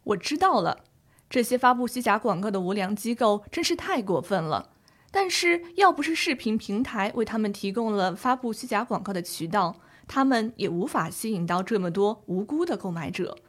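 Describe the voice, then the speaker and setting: Woman playing concerned granddaughter, medium pitch, earnest, conversational delivery, educational radio drama. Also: animated, moderate pace, natural voice. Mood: indignant. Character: worried granddaughter seeking legal advice for grandfather.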